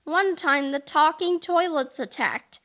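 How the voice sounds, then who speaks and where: Bright, playful woman reading a children's book. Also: high voice, a little slow. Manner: animated, playful narration. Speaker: storybook reader. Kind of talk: children's book read-aloud.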